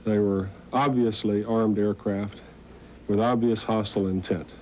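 Male American official, forties, low deliberate delivery, briefing, faint noise. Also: fairly even intonation, darkish tone. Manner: blunt, deliberate. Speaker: Pentagon spokesman. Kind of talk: press briefing. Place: briefing room at a podium. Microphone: podium mic. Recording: muffled archival tape, faint noise.

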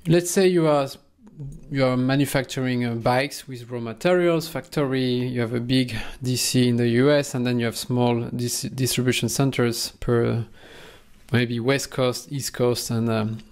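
A man's voice: medium pitch, steady tone, normal speed, a little mellow, smooth. A man teaching supply chain basics in a loose, conversational way, often restarting phrases and checking in with "okay?". He speaks into a close microphone in a small indoor room. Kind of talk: casual explainer lesson. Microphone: close microphone in front.

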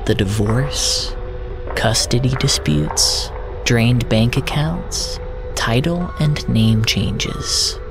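A man narrates a dramatic story in the first person at a slow pace. His phrasing is deliberate and drawn out, broken by frequent pauses. His voice sits at a middle pitch, with strong music playing behind it. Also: creaky. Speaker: narrator of a fiction podcast. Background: prominent music.

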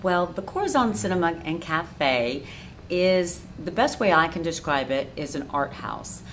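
A woman's voice: sits low, big pitch swings, unhurried, fairly bright tone, a little hiss or hum. A middle-aged American woman from Florida giving conversational answers as an interview guest. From Florida, United States. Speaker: interview guest, cinema cafe owner. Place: inside a dim cafe theater.

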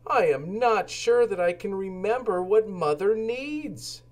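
A man's voice: high voice, lively intonation, a little slow, darkish tone, voice pushed up. A man voicing an earnest young boy cat in a children's storybook, polite and eager to help. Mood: unsure, wondering.

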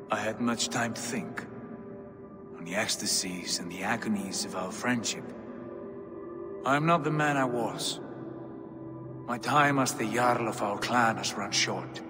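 An actor playing a proud, weary Viking lord in a video game, speaking in solemn, formal phrases. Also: male voice, mid-range voice, close to an everyday voice. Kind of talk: dramatic video game cutscene acting. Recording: cavernous reverb, music underneath.

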